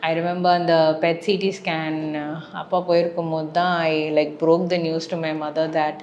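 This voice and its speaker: A woman's voice: low-pitched, flat delivery, very fast, darkish tone, roomy sound, muffled recording, a little hiss or hum. A young woman from Chennai with a South Indian accent, speaking candidly and reflectively as she tells a personal story in a casual vlog. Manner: switches between English and Tamil, candid and reflective. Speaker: vlogger.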